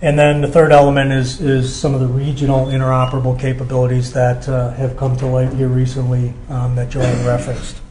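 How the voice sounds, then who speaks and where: A man's voice: medium pitch, completely flat delivery, fairly quick, hardly any pauses, mellow. An American man giving an explanatory technical presentation as a company representative, checking in with his listeners as he goes. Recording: echoey room with background noise.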